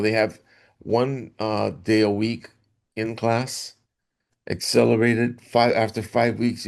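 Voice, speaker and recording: A middle-aged man from Hawaii, a guest in an interview, telling his story in a rambling way with restarts and soft laughs. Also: fairly low pitch, gravelly. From Hawaii, Oahu. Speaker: guest, athletic director association executive. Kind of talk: casual interview talk.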